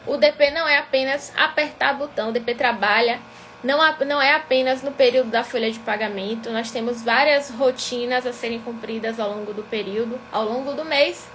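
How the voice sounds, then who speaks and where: A high-pitched woman with a Bahian accent teaches a live online class. She speaks in a didactic way and keeps checking in with her viewers. A faint hum runs under her voice. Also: brisk, a bit bright, poor audio. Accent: Bahian Brazilian Portuguese. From Salvador, Bahia, Brazil. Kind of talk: live-streamed lesson.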